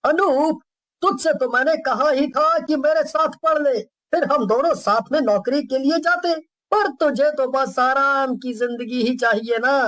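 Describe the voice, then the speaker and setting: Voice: sits high, unhurried, a bit bright. A performer voicing a sensible young village man in a cartoon story who teases his lazy friend. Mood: teasing. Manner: teasing, chuckling. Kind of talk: cartoon voice acting.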